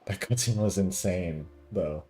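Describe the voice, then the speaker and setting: A man's voice: deep voice, a little slow, a little mellow, a little hiss or hum. A man who streams games, casually reacting and reading dialogue aloud. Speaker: gaming streamer.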